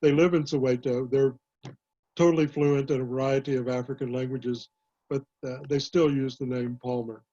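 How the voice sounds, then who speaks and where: An older man, a historian and author, answering questions in a panel talk, measured and full of pauses. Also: medium pitch, fairly even intonation, warm tone, lo-fi sound. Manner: measured, many "uh" pauses and restarts. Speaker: author and historian, guest speaker.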